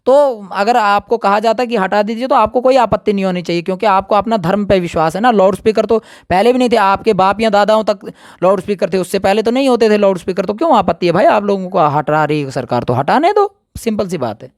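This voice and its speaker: Man, high pitch, dry sound, emphatic, repetitive, unscripted opinion interview. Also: animated, quick pace, hardly any pauses, no room sound.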